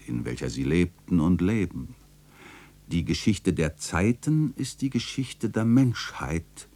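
An actor playing a reflective, nostalgic chronicler narrating a literary radio play in a measured, contemplative way. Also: male voice, low voice, warm tone, full character voice. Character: reflective, nostalgic male chronicler of an old city lane.

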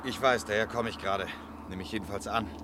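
An actor in a radio play as a lost, chilled traveller, polite and anxious, with breathy exclamations. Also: male voice, lowish voice, steady tone, quick pace, close to an everyday voice. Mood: lost, weary. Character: lost, uneasy, chilled male traveller in foggy town. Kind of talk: German radio play acting. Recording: clear street and vehicle noise.

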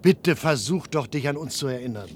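An actor playing a cautious, earnest adult son on an urgent, forbidden search in a science-fiction radio play. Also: male voice, lively intonation, fairly quick, close to an everyday voice. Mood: imploring, urgent. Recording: noisy vintage radio play, low rumble.

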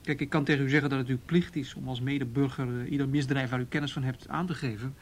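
An actor playing a patient, tactful police detective in a radio play, asking questions in a measured, procedural and hesitant way. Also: male voice, medium pitch, level tone, slight background noise, natural voice. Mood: measured, cautious. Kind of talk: Dutch radio drama dialogue.

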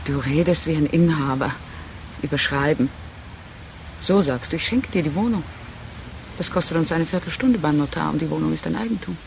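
A woman's voice: low voice, normal speed, vocal fry, pauses often, a little mellow, slight background noise. An actress in a radio drama playing a proud, reproachful woman leaving her partner, insistent and speaking in clipped, accusing phrases. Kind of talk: restrained radio drama dialogue.

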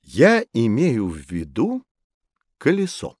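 An actor playing a kindly, avuncular professor teaching children in an educational audio play. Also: male voice, medium pitch, wildly swooping pitch, slow, a little mellow, no echo, studio quality, heavily acted. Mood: explaining. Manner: avuncular, theatrical, explanatory.

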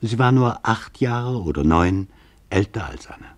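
An actor as a sober investigator narrating his interviews in a scripted radio documentary drama, measured and grave, with a husky voice. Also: fairly low pitch, lively intonation.

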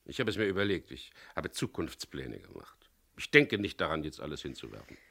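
An actor playing a terse, determined boat captain turned thief in a German radio crime drama. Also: male voice, very deep voice, very animated, a little fast, gravelly, bright, forceful tone, full character voice. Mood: stubborn, defiant. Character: gruff, stubborn male boat captain and diver turned thief. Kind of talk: German radio crime drama acting with first-person narration. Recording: 1970s radio play production.

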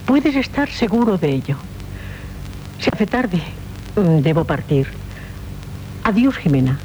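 Royal princess, Castilian accent, gracious but imperious, lowish, animated, 1970s radio drama. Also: female voice, slow. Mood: earnest. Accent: Castilian Spanish. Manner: regal, assured, insistent. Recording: dull, noisy 1970s radio recording.

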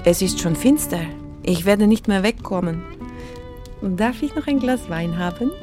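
A woman's voice: medium pitch, animated, music in the background, natural voice. An actress playing a playful, flirtatious Slovak woman biologist who teases and halts while searching for words. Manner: teasing, halting word searches.

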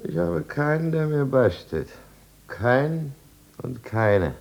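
A man's voice: mid-range voice, sing-song, slow, gravelly, darkish tone, full character voice. An actor playing a tipsy, flirtatious, self-pitying businessman in a 1950s German radio drama, wheedling and over-familiar. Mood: troubled, self-pitying.

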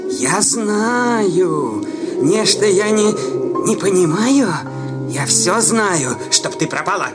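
High-pitched, ranting superstitious village sexton. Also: male voice, big pitch swings, slow pace, full character voice. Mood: accusing. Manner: accusing, sputtering, sing-song exclamations. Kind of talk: theatrical radio drama dialogue. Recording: loud music behind the voice.

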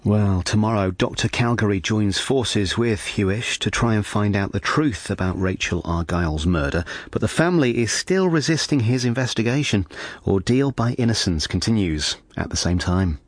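A man's voice: fairly low pitch, lively intonation, moderate pace, hardly any pauses, dry recording. A man giving a scripted continuity announcement for a broadcast.

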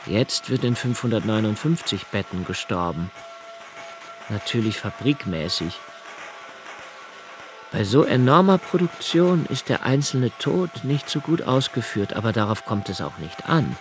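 Brooding, low-voiced young writer. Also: male voice, very animated, unhurried, noisy background. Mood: bitterly sardonic. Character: anxious, brooding young male writer, morbidly observant. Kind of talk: literary radio drama monologue. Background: music.

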